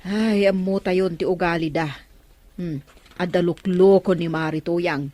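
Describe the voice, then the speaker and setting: Lowish-voiced gossipy Ilocano village woman, played by an actress. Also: steady tone, unhurried, dark tone, natural voice. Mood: regretful. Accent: Ilocano Filipino. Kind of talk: Ilocano radio comedy drama acting.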